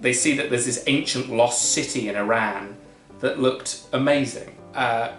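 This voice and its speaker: Man, podcast co-host, wry casual chat, average pitch, echoey room, faint guitar music behind. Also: measured pace, a bit bright. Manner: wry, conversational, quotes film lines. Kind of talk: casual film discussion.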